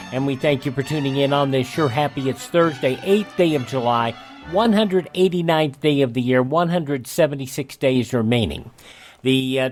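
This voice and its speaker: A man hosting a radio show, reading a scripted rundown of historical dates. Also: a little high.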